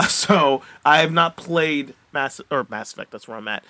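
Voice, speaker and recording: A man co-hosting a podcast in casual chat, animated and freely swearing. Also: fairly high pitch, moderate pace, background noise. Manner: animated, profane, giggly. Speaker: podcast co-host.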